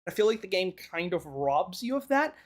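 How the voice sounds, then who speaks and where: A man gives casual video game commentary in a slightly hoarse, strained voice. He thinks aloud as he goes, rambling in a conversational way as the host. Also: high-pitched, sing-song, moderate pace.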